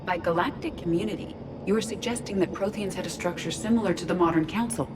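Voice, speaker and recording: A woman's voice: on the low side, fast talker, very noisy, close to an everyday voice. A woman voicing a composed, literal-minded artificial intelligence in a video game, speaking formally and precisely. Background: background music.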